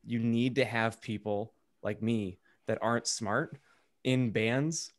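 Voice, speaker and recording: A man co-hosting a podcast, talking casually in an informal, halting and self-deprecating way. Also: mid-range voice, fairly even intonation. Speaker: podcast co-host. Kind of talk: casual panel discussion.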